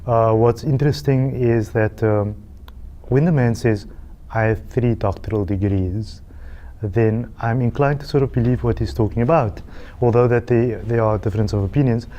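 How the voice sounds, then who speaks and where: A man's voice: mid-range voice, moderate pace, dark tone. A man in his thirties from South Africa with a South African accent, chatting as a guest on a talk show and laughing often. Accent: South African English. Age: 30s. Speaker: TV channel CEO, guest. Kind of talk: casual chat.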